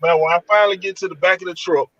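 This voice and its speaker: A man from Georgia telling of a frightening night encounter over a call from his van, in animated, colloquial speech. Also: sits high, racing, no room sound, muffled recording. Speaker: guest telling an encounter story. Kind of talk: casual storytelling over a call.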